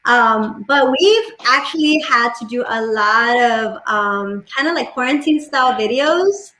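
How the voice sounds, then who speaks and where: A woman whose first language is Spanish, a musician guest chatting casually on a video call from home, relaxed and full of fillers. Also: fairly high pitch, a little slow, reverberant. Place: home room. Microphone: laptop webcam mic on video call.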